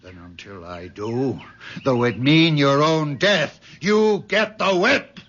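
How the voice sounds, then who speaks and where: A man's voice: fairly high pitch, expressive, slow pace, a bit bright, a little hiss or hum, heavily acted. An actor playing a harsh, accusing old settler father in a 1970s radio drama, ranting in archaic, righteous speech. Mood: scornful, threatening. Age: older. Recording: dull old radio broadcast recording.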